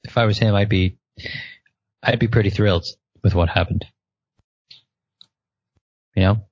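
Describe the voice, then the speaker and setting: A man in his late twenties co-hosting a casual chat, animated and often repeating words as he starts a thought. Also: fairly low pitch, unhurried, husky, no echo. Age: 20s. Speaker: podcast co-host. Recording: muffled lo-fi audio.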